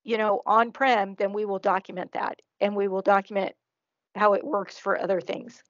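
A woman's voice: medium pitch, steady tone, normal speed, dry recording. Woman presenting, dry dull-sounding call audio. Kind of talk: slide presentation and Q&A answers. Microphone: video call. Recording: slightly dull online meeting audio.

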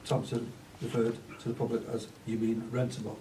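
A male councillor explaining himself at a meeting, halting and aggrieved. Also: medium pitch, flat delivery, mellow, a little hiss or hum. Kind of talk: speaking at a meeting. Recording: echoey hall, distant mic.

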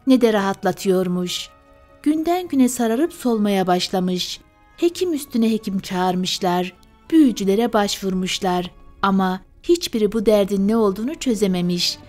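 A woman's voice: mid-range voice, a little mellow. Woman, bedtime tale narrator, scripted storytelling, music behind. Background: music and fire sounds.

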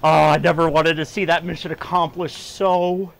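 A man's voice: on the high side, flat delivery, normal speed, vocal fry, a bit bright. A man giving light, casual commentary while playing a video game. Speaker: gamer recording a playthrough.

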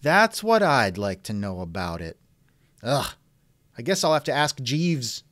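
Male host for chat interview: mid-pitched, very expressive range, wry doubter. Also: unhurried, dry recording, studio quality. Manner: wry, skeptical. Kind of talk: reenacted text-chat interview, conversational.

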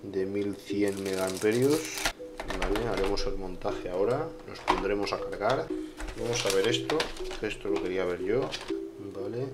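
A man with an accent from Spain narrating a casual unboxing review in an informal way, pausing and repeating himself. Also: on the low side, heavy background noise. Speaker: video reviewer. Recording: music behind the voice. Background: background music.

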